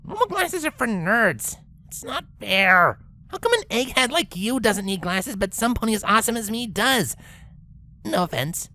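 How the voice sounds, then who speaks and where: A woman's voice: on the high side, big pitch swings, normal speed, pushed and bright. A woman voicing a brash, sulky young female cartoon pegasus who grumbles in cocky slang. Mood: sulky, defensive. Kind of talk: character acting in a fan-fiction story reading.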